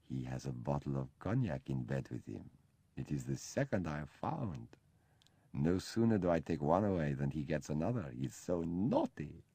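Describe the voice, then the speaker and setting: A man reading an audiobook, voicing a gentle, simple-minded lay brother who speaks softly and fondly. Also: extremely low pitch, big pitch swings, moderate pace, vocal fry, slightly rough, no echo, heavily acted. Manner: soft, fond, confiding.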